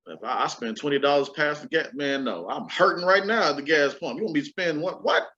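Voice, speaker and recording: A male host with a slightly high voice, speaking in relaxed slang. His announcements to the listeners are brisk and upbeat. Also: highs cut off. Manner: easygoing, slangy, upbeat when introducing guests. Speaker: podcast host. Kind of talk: casual chat and show hosting.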